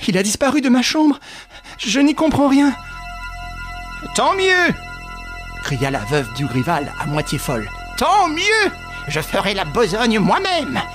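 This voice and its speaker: A man reading an audiobook, voicing a furious, half-mad middle-aged widow bent on revenge, with a husky voice. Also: normal speed, over music, natural voice. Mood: frantic. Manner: shrill, frantic, vengeful.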